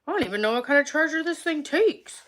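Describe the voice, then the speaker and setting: A woman in her forties from Long Island, with a slightly high voice roughened by vocal fry. She rambles through a casual live stream, leaning on "like" constantly. She is outdoors under a tree and sounds sniffly from a cold. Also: animated, quick pace, fairly bright tone. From Long Island, New York. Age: 40s. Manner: rambling, heavy use of "like". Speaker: livestream vlogger.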